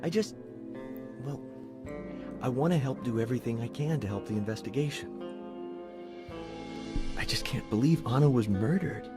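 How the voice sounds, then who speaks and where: An actor playing a meek, sensitive sheriff's assistant in a video game, hesitant and apologetic. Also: male voice, medium pitch, normal speed, pauses often, close to an everyday voice. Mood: upset, earnest. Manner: hesitant, apologetic, eager to help. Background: game music.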